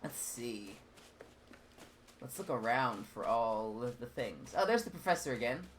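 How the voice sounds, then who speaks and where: A man's voice: mid-range voice, animated, a little slow, fairly bright tone, slight background noise. A man commenting on a game as he plays, chatty and rambling, often stumbling over and repeating his words. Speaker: gaming channel host. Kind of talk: let's play commentary.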